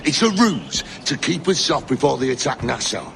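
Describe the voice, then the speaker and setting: An actor playing a surly, stubborn, suspicious pirate in a video game, with a gravelly voice. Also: male voice, medium pitch, wildly swooping pitch, normal speed, over music. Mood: defiant, warning. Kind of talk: video game cutscene dialogue. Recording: noisy ambience behind.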